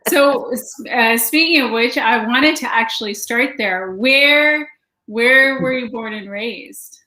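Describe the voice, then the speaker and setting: A Canadian woman hosting a casual interview, chatty and letting her sentences trail off softly. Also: fairly high pitch, slow, reverberant, rough recording. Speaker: podcast host.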